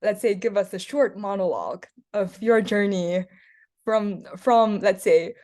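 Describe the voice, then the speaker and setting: A woman's voice: mid-range voice, expressive, a little slow, darkish tone. A young woman from China hosting a student Q&A on a video call, hesitant with many fillers. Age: young adult. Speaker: host, student club co-president. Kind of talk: casual moderating on a video call.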